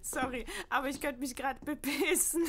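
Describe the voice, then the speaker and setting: An actress playing a snotty, sarcastic sixteen-year-old daughter in an audio drama, talking in slang. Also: female voice, high-pitched, steady tone, normal speed, background noise, lightly acted. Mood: cheeky. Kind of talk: acted horror audio drama dialogue.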